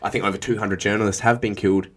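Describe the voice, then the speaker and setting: Sarcastic man, low voice. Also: steady tone. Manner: wry, sarcastic, drawn-out emphatic phrasing. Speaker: podcast co-host. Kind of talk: casual political chat.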